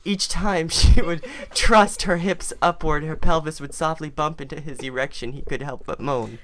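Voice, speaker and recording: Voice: medium pitch, fairly even intonation, moderate pace, fairly bright tone. A person giving a mock-serious dramatic reading of fan fiction, theatrical and stumbling over words now and then.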